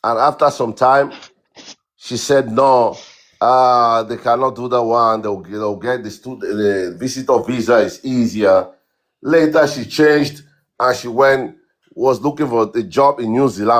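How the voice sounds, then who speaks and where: A man from Cameroon, a guest on a livestream, telling his story in a halting way with repeated words and many fillers. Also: medium pitch. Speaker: guest caller. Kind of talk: casual account on a livestream.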